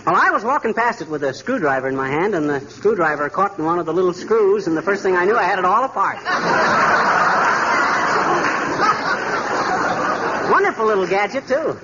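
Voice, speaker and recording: A man's voice: high voice, big pitch swings, moderate pace, long pauses, crowd noise. A man playing a boastful, bumbling American husband, folksy and pompous, in a 1940s radio comedy. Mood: sheepish bluster. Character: blustering, boastful, bumbling middle-aged husband. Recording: noisy, muffled 1940s radio recording.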